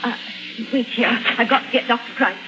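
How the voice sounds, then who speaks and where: An actress playing a chatty, bustling middle-aged companion in a 1950s radio drama. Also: female voice, a little high, brisk, slight background noise. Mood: anxious. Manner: chatty, bright-mannered, coaxing. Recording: dull vintage 1950s radio recording.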